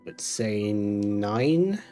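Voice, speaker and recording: A man's voice: on the low side, expressive, very slow, warm tone, faint music behind. A man giving casual gameplay commentary as a streamer, with a wry, offhand manner. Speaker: game streamer.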